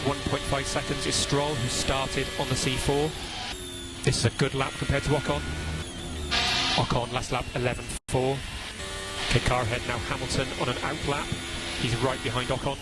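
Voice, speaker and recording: A man's voice: medium pitch, level tone, normal speed, loud music behind the voice. Clipped male race engineer over team radio. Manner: clipped, matter-of-fact. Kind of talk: terse race radio instructions. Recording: team radio with loud background sound.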